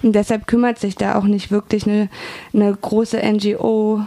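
A woman answers as an interview guest in an informal way, correcting herself as she thinks aloud. Her voice is mellow and fairly flat, with some creak, in a dry, close recording. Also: mid-range voice, normal speed, dry recording. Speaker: interview guest reporting on refugee camps.

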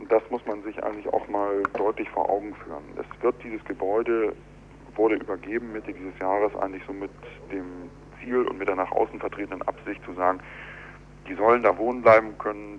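A man speaking as an architect in a telephone interview, explaining in a measured, deliberate, argumentative way. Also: on the low side. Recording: dull telephone line with clear hum.

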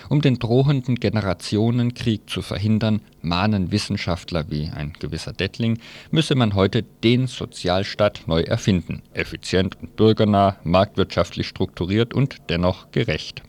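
A man's voice: fairly low pitch, moderate pace, darkish tone. A man presenting an informal live radio press review, reading aloud and dropping in loose, colloquial, wry asides.

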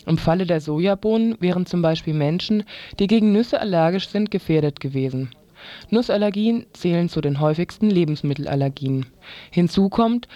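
Male newsreader, notably high voice, reading from a script in a dry studio sound. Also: mellow, dry recording. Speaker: news reader.

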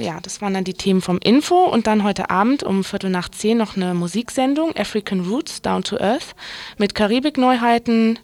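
A woman's voice: medium pitch, a little mellow. A woman presenting on the radio, announcing the programme in an informal, chatty way with some stumbles as she reads. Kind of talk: casual live programme announcement. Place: radio studio.

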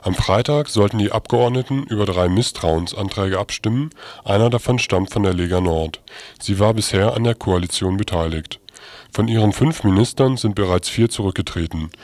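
Low-voiced man reading radio news. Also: normal speed, a little mellow, dry recording.

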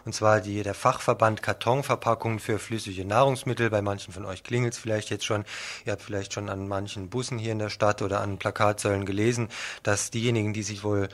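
A man's voice: on the low side, steady tone, fairly quick. A man presenting scripted news on the radio, reading out headlines and reports as the host.

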